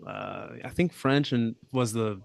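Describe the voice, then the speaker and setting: A young man from Australia, a podcast guest, talking in a relaxed, thoughtful way with lots of fillers and hedges. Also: medium pitch, a little slow, creaky. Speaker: podcast guest, app founder. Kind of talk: casual interview conversation.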